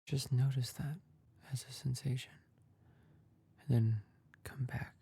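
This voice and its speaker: Male meditation guide, very mellow and relaxed, gravelly fry at the edges, soft, calm and unhurried. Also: medium pitch. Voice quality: soft, breathy and husky. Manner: calm, gentle, unhurried with long pauses.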